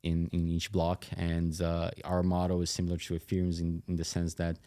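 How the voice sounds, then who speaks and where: A man being interviewed as a company chief on a podcast, explaining things in a halting, conversational way. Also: low voice, completely flat delivery, dark tone, smooth, no echo, clean studio sound. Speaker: company CEO interview guest.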